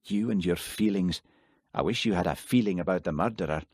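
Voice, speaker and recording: Man playing a village policeman, wry, dry, matter-of-fact, deep, husky. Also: brisk, darkish tone, no echo. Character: thoughtful Highland village policeman, young man.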